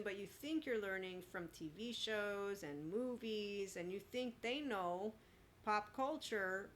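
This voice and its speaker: A woman's voice: mid-range voice, a bit bright, a little hiss or hum. A woman in her forties giving an opinionated solo monologue as a podcast host, blunt, emphatic and sarcastic. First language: bilingual with Italian. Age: 40s.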